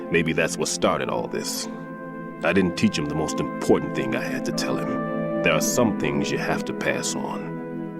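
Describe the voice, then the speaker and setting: An actor playing an older, weary bomb disposal expert in a video game, measured and instructive with a folksy turn. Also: male voice, a bass voice. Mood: rueful, reflective.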